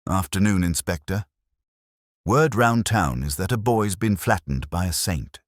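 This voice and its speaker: A man reads as a curious woman working a police front desk in an audio mystery. She is blunt, gossipy and quick to defend herself, with a low, animated delivery. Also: dry recording, clean studio sound. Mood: nosy, defensive. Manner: sniffy, blunt, chatty.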